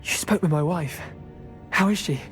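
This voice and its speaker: An actor voicing a calm, grateful captive male wizard in a video game cutscene. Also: on the high side, moderate pace, faint music behind, natural voice.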